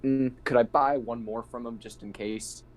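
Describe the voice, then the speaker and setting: A man's voice: mid-range voice, fairly even intonation, normal speed. A man playing a tabletop role-playing game, amused and laughing often as he describes his character's actions. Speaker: player.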